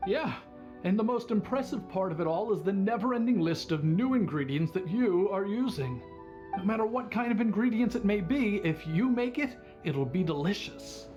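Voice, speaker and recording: An actor playing a good-natured old male tavern drinker in an anime game, polite and theatrically flattering. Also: sits high, moderate pace, dull audio. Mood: gushing. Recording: game music behind the voice.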